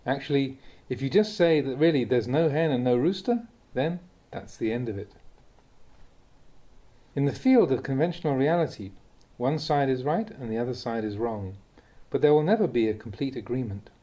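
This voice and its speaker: Guy reading dhamma talk audiobook style, warm relaxed voice, pitch moves around a lot, deliberate phrasing. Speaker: reader of Buddhist teachings.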